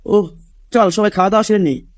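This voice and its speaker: A man's voice: high-pitched, fast talker, dry recording, studio quality, natural voice. A man playing an eager, curious young college friend in an audio story. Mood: surprised, curious.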